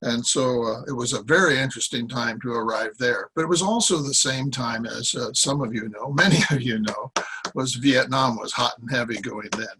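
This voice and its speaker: Guest speaker: American man, 70s, husky, halting and rambling, informal delivery. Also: medium pitch, bright, forceful tone, poor audio. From Iowa, United States. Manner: rambling, halting, with self-corrections. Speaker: guest speaker, former journalist. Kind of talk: informal talk from notes. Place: small cluttered room with boxes, indoors. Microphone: computer microphone on a video call.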